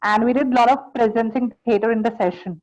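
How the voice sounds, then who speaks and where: A woman with a high voice speaks in an online workshop. She shares hesitantly, stumbling and restarting often as she searches for words. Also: fairly even intonation, racing, a little mellow, muffled recording. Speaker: workshop participant. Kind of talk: sharing an experience.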